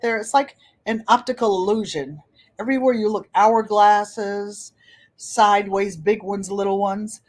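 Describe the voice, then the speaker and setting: A woman in her seventies hosting a casual livestream, chatting in a gentle, pleasant voice and rambling as she often repeats her phrases. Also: on the high side. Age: 70s. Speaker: channel host.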